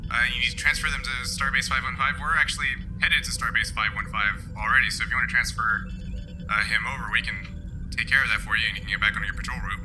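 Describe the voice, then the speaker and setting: A man's voice: medium pitch, fairly even intonation, quick pace, over music, natural voice, poor audio. A man voicing a businesslike, wry male starship captain in a tabletop game, with a matter-of-fact official manner.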